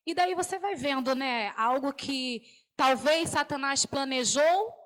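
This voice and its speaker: A woman in her thirties, originally from Salvador, gives her testimony in a high, bright voice. She speaks with emotional fervor and a preaching lilt. She often checks in with a little "né?". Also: unhurried. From Salvador, Bahia, Brazil. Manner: emotional, fervent preaching tone with frequent "né?". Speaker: guest giving a testimony. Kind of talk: church testimony on a TV program.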